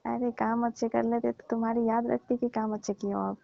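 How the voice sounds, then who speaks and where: A woman's voice: a little high, flat delivery, brisk, vocal fry, mellow, dry recording. A woman playing a coaxing, teasing middle-aged auntie who persuades and placates in a staged comic phone call. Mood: defensive, teasing. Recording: dull, dry lo-fi phone recording.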